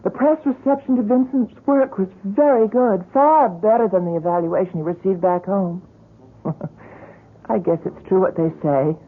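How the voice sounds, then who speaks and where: A woman's voice: lowish voice, narrow, tinny audio, natural voice. An actress playing a devoted, encouraging woman who works at a gallery, in old radio drama style. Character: devoted, encouraging woman gallery worker recounting her painter friend's story. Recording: thin band-limited old radio broadcast.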